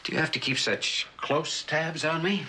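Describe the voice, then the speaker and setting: An actor playing a proud, cornered American scientist, terse and defensive, in an old suspense drama. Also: male voice, a little high, moderate pace, bright, forceful tone. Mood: irritable. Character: proud, cornered male government scientist, guarded and irritable. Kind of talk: mid-century American suspense drama acting. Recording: noisy old broadcast drama soundtrack.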